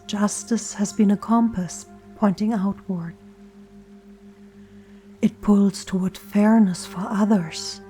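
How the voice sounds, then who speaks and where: A woman leading a gentle scripted guided meditation in a soft, soothing, often breathy near-whisper, with deliberate pauses. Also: mid-range voice. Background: soft meditation music and singing bowl tones.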